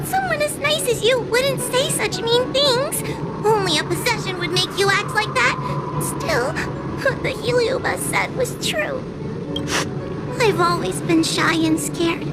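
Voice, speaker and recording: A woman's voice: extremely high pitch, very animated, slow pace, heavily acted, low-quality recording. A woman playing a timid, tearful young exorcist girl in a video game, meek and hesitant. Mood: sad, apologetic. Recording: loud music behind the voice.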